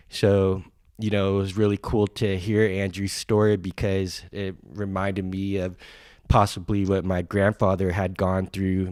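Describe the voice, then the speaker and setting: A man with a very low, flat voice, nasal and stuffed up from a cold. He rambles through a podcast introduction with plenty of ums and restarts, recorded in a dry, close space. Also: dry recording. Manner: rambling, many fillers and restarts. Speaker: podcast host.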